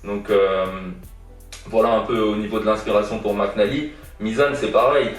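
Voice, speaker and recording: A young man answers interview questions casually, stalling on fillers and repeating words as he thinks. His voice sits a bit low. The sound is very echoey and distant, with faint music behind. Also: steady tone, normal speed. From born in Iran, raised in France. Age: young adult. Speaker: guest artist. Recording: echoey room, distant from mic.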